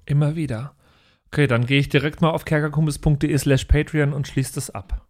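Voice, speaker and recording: A man's voice: a little high, steady tone, fairly quick. A man in casual comedic podcast banter, with a playfully mock-naive manner. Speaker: podcast host and player.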